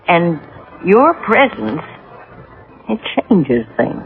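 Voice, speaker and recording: A woman's voice: fairly low pitch, big pitch swings, very slow, faint music behind, exaggerated voice. An actress playing an earnest, uneasy middle-aged married woman in an American radio drama, intense and a little hesitant. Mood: earnest, coaxing. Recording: muffled phone-like old radio broadcast.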